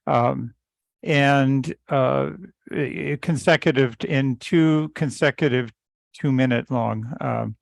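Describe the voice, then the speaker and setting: A man giving a casual technical explanation in an online meeting, halting and often repeating the starts of words. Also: mid-range voice, vocal fry, dry recording, clean studio sound. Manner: halting, stammering repetitions. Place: online teleconference call.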